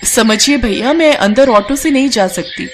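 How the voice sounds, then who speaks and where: A woman's voice: a little high, normal speed, a little hiss or hum, pitched above the performer's own voice, lightly acted. A woman voicing an anxious, ambitious young model in a cartoon story, dramatic and easily flustered. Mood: polite.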